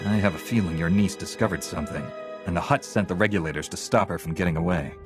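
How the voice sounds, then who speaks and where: A calm, noble warrior monk played by a man in a sci-fi game cutscene. His voice is on the low side, formal and firmly assured, with clear music behind it. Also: quick pace, lightly acted. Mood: calm, resolute. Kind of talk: video game cutscene acting.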